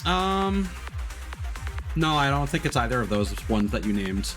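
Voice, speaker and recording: A man streams a video game with casual, thoughtful commentary. His voice sits at an average pitch as he pauses to reason things out. Faint music plays behind him. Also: sing-song, a bit bright.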